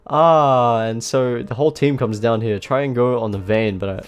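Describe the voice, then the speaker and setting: A man with a blocked nose and a slightly nasal voice, giving relaxed, self-mocking voice-over commentary on his own match. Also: medium pitch, moderate pace, smooth. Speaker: gameplay commentator. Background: faint background music.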